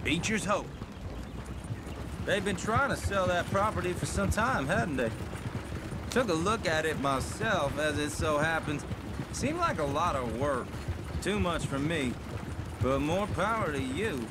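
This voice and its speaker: An actor playing a talkative, self-assured American hired gun in an Old West video game, chatty, boastful and folksy. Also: male voice, fairly high pitch, expressive, measured pace, pauses often, heavy background noise. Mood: idle chatter. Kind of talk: cinematic video game dialogue.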